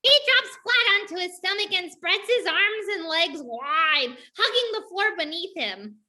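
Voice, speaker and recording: A woman's voice: extremely high pitch, lively intonation, measured pace, sharp tone. A woman reading stage directions as scripted narration for a play.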